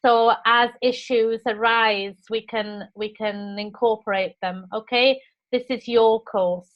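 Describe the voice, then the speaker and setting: A woman with a Welsh accent leads an online course over a video call, her voice sitting slightly high. She talks in a warm, encouraging way with frequent restarts. The call audio sounds dull and lo-fi. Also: steady tone, takes it slowly. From Wales. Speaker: course tutor. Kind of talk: live online teaching session.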